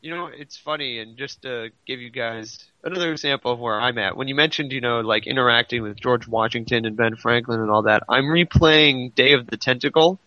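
A man with a forceful, bright voice talks casually on a gaming podcast. He explains things in rambling, self-interrupting runs, and the close, dry recording sounds slightly dulled. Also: mid-range voice, a little fast, hardly any pauses, dry recording, muffled recording. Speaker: podcast co-host. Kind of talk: casual podcast chat about video games.

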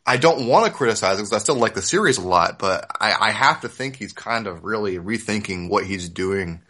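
A man's voice: on the low side, a little fast, bright, forceful tone. A man co-hosting a podcast, talking casually and analytically with emphasis as he discusses a series. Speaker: podcast co-host.

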